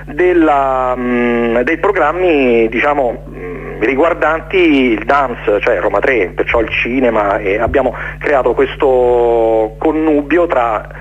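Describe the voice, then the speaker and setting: Halting man, dull noisy recording. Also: medium pitch. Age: late 40s. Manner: halting, repeats words, rambling. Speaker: interview guest, jazz orchestra conductor. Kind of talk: casual radio interview.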